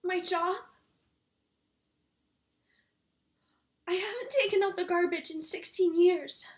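A woman's voice: very high-pitched, normal speed. A woman playing a hurt, exasperated grown daughter confronting her father in an emotional dramatic monologue, with pleading, indignant emphasis. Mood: defiant, irritated. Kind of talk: emotional acted monologue, self-taped audition. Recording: echoey room.

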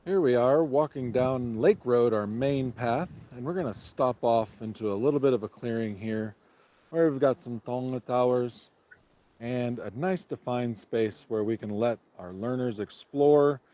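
A man narrating a walk along a nature path in a calm, guiding tone. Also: medium pitch, a little slow. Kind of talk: video narration.

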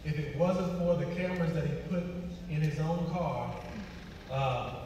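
A man speaking on a panel, giving practical advice in a measured, explanatory way. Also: on the high side. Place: on stage in a large auditorium. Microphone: recorded from the audience seats, far from stage. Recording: distant, echoey theater hall with noise.

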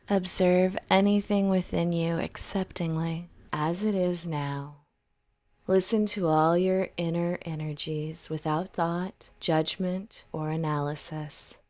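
A woman narrating a scripted guided meditation in a gentle, soothing, unhurried manner, softly trailing off at the ends of phrases. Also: fairly low pitch, no echo.

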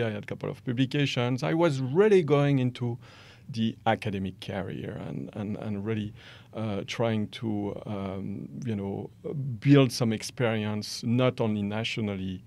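A man's voice: lowish voice, creaky. A man in his forties from France with a French accent, a guest in a podcast interview, talking with lots of fillers and hand gestures in a studio. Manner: animated, gestures with both hands, hesitant fillers. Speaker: guest, company founder. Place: small dark studio set, seated in armchair. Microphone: studio microphone on boom stand.

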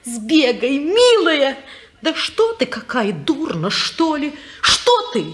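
A lively, scheming young woman. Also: high voice, full character voice. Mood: impatient. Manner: quick, teasing, impatient. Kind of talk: 1950s radio play acting.

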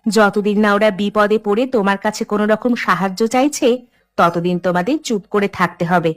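A woman's voice: mid-range voice, fairly even intonation, a little fast, lightly acted. A woman voicing a caring, sensible village housewife in a cartoon, with a soothing, advising manner. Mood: reassuring. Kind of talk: Bengali cartoon voice acting.

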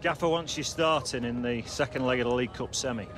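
Stern, blunt football coach played by an actor. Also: male voice, mid-range voice, background noise, close to an everyday voice. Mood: matter-of-fact. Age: middle-aged. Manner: blunt, admonishing, measured. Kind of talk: video game cutscene acting.

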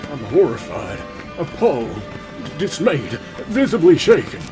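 A theatrically aggrieved old hanging judge of the frontier. His folksy drawl rises and falls dramatically in this cartoon dub, with music loud underneath. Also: on the high side, big pitch swings, measured pace. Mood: aghast. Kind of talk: cartoon comic dub acting.

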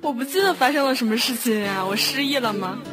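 A woman's voice: on the high side, expressive, fast talker. A woman chatting casually with a cast online. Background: guitar music.

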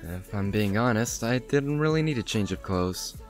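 A man playing a young male vampire, hot-tempered yet tender, in an animated roleplay drama. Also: fairly low pitch, normal speed, soft background music, close to an everyday voice. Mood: gentle, concerned.

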